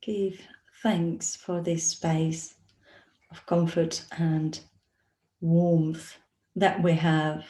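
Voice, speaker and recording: A woman leading a guided meditation as a yoga nidra teacher, speaking in a soothing, instructional manner with long pauses. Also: low voice, little pitch movement, mellow. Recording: roomy, echoey sound.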